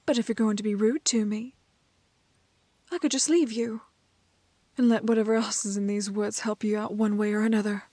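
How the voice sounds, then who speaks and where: Woman acting a breathy, prim, kind-hearted storybook maiden. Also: mid-range voice, steady tone, moderate pace, mellow, no echo, natural voice. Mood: miffed, teasing. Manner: proper, old-fashioned genteel phrasing. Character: prim, plucky, kind-hearted young woman from a fairy tale. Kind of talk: romantic fantasy roleplay audio acting.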